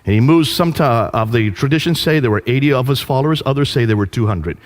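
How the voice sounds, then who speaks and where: Male lecturer, average pitch, engaging storyteller who quizzes the audience. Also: fast. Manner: animated storytelling with rhetorical questions to the audience.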